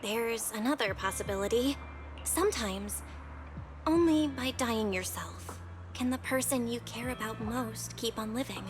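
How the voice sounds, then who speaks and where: A woman's voice: fairly high pitch, a little slow, music in the background, lightly acted. A woman voicing an earnest, inquisitive young investigator in a video game, conversational and thoughtful. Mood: pensive.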